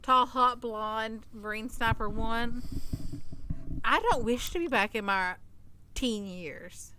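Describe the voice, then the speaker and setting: A woman's voice: fairly high pitch, takes it slowly, noisy background. A woman in her thirties co-hosting a podcast, telling a story in casual chat and acting out the people she quotes. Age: 30s. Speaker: podcast co-host.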